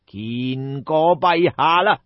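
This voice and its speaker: A man's voice: on the high side, extremely slow. A man voicing a bogus immortal sage, haughty and full-breathed, with pompous, stately delivery in an audiobook. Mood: haughty, serene. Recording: dull, roomy audiobook recording.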